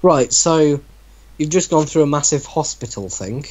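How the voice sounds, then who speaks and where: A man's voice: a little high, expressive, normal speed, a little mellow, lo-fi sound, slight background noise. A man casually reading a story aloud to friends, bantering and breaking in with sceptical, teasing comments. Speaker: reader in a group reaction show.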